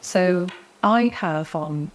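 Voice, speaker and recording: A middle-aged woman, a guest in an interview, speaking in a quiet, measured way as she gives advice. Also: fairly low pitch, low-quality recording. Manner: soft-spoken, measured, advice-giving. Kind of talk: interview conversation.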